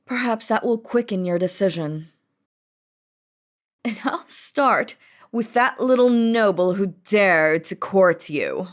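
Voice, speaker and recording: A woman's voice: mid-range voice, lively intonation, slow pace. A woman voicing a possessive, commanding demon queen in a romantic audio roleplay, dramatic and by turns threatening and doting. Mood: menacing.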